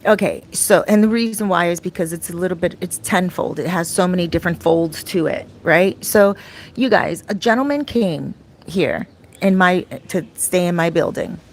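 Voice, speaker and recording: A middle-aged woman vlogging casually about her life abroad, chatty and rambling, her voice a little raspy and often clearing her throat. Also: lowish voice, normal speed, slight background noise. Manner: chatty, rambling, with many fillers and restarts. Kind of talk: casual talk to camera. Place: her home in a Dominican neighborhood.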